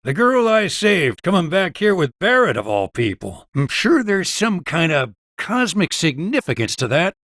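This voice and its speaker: Folksy Southern doctor with lively pitch. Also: male voice, normal speed, hardly any pauses, no room sound, studio quality. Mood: teasing, warm. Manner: folksy, easygoing drawl with wry humour. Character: folksy, wry small-town doctor and researcher. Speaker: video game voice actor. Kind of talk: character dialogue in a video game.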